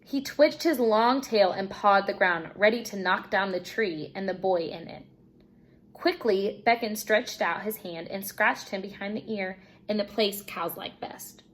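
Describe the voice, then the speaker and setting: A woman teacher reading a children's storybook aloud in an expressive narrating style. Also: medium pitch, unhurried.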